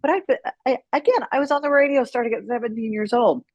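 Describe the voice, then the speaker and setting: A middle-aged American woman telling candid personal stories as an interview guest, frank and unfiltered, with dramatic pauses for emphasis. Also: a little high, darkish tone, no echo, lo-fi sound. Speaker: guest, writer and former radio personality.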